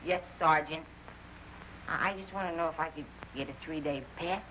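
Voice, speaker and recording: Whiny childish army private, talks super fast, muffled old movie sound. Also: male voice, extremely high pitch. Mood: nervous pleading. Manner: fast, pleading, childish griping, mangled grammar. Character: whiny, hapless young army private, loudly aggrieved. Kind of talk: mid-century film comedy acting. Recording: dull old film soundtrack, faint noise.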